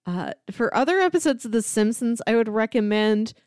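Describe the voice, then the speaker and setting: A woman's voice: on the high side, animated, a little fast, darkish tone, clear, resonant tone, dry recording, studio quality. A woman co-hosting a casual podcast, explaining things informally with many asides. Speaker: podcast co-host. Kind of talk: casual chat about sitcom episodes.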